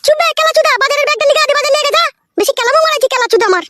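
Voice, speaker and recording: A woman voicing a quarrelsome, sharp-tongued village wife in a comedy cartoon, scolding coarsely in a squeaky, shrill voice. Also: rapid-fire, background noise. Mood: angry scolding.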